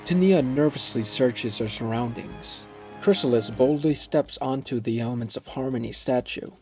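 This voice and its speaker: A male narrator delivers scripted action and scene descriptions for an audio drama. His voice sits at an ordinary pitch, with faint music underneath. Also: normal speed.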